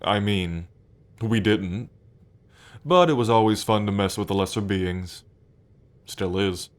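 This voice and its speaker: Man playing a slow, low-voiced smug demon prince. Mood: wry, nostalgic. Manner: slow, drawling, playfully taunting. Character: smug, teasing male demon prince of pride. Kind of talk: ASMR roleplay addressed to the listener.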